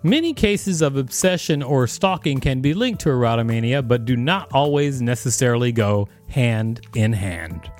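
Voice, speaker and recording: Guy reading an article out loud on a podcast, bouncy and pitchy, jokes around, faint music behind. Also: animated, moderate pace, hardly any pauses. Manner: playful, jokey asides between reading. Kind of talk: casual read-aloud with asides.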